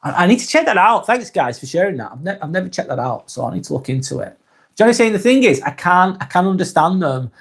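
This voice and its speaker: A man from Lancashire with a Lancashire accent, hosting a casual live stream and reading viewer comments aloud in a soft, hesitant way. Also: sits high, sing-song, quick pace, slightly rough. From Lancashire, England.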